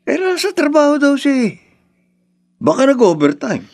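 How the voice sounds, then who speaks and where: A man's voice: extremely high pitch, wildly swooping pitch, moderate pace, darkish tone, heavily acted. An actor playing an embarrassed, reluctant grown son with a Filipino accent, placating his mother in a radio drama. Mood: placating, uneasy.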